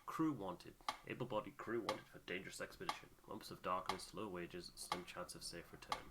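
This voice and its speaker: A man's voice: low voice, steady tone, moderate pace, very noisy. A man hosting a voiced game playthrough, moving between casual chat and reading narration aloud.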